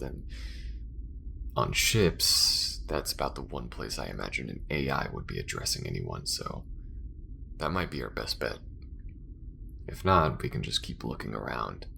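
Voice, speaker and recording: Homme jouant un astronaute très grave, voix grésillante. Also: male voice, very deep voice, gravelly, slight background noise, heavily acted. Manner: hushed, unhurried, casual musing. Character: male spacefaring crewmate, casual, protective war veteran. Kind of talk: ASMR sci-fi roleplay.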